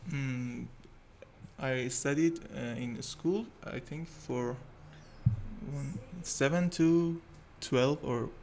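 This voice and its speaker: A man's voice: mid-range voice, extremely slow, darkish tone. A man in his twenties from Tehran with an Iranian accent, a native Persian speaker answering interview questions hesitantly in a second language. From Tehran, Iran. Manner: hesitant, searching for English words, nervous. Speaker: interview guest. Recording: noisy background, poor sound quality.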